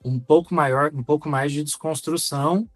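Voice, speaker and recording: A man with a Brazilian accent talking reflectively as a podcast co-host, measured and articulate, feeling for his words. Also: fairly high pitch, steady tone, dry recording, soft background music. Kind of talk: reflective conversational podcast talk.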